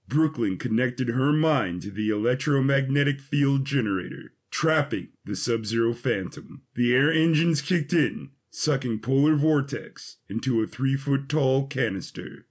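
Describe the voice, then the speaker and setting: A man with a husky voice giving a scripted, dramatic narration of an adventure story. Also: medium pitch, animated, unhurried, few pauses.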